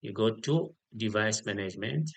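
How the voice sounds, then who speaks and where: A man giving an unscripted step-by-step software tutorial, repeating key details and often checking in with a short okay. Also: lowish voice, expressive, moderate pace, gravelly, dry recording. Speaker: tutorial instructor.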